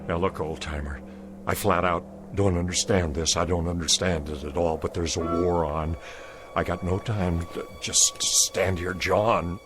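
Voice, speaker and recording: An actor playing a blunt, skeptical Confederate soldier with a Southern American drawl in an audio drama. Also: male voice, deep voice, huge pitch swings, normal speed. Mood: impatient, dismissive. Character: gruff, skeptical Confederate soldier, younger man. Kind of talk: old-time radio-style drama acting.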